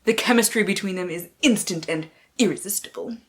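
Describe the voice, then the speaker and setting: A woman's voice: medium pitch, brisk. Theatrical, sweary young-sounding woman book vlogger. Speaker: book vlogger, channel host. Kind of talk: casual chat to camera with playful dramatic book-blurb readings.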